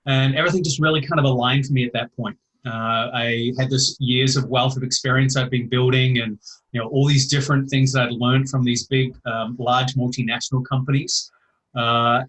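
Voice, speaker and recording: A middle-aged man with a New Zealand accent, a podcast guest answering questions casually. He stops often to say "uh" and fixes his own slips as he goes. His voice sits at an ordinary middle pitch for a man. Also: steady tone, a bit bright, rough recording. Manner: halting, many "uh" and self-corrections. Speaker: guest, customer service executive.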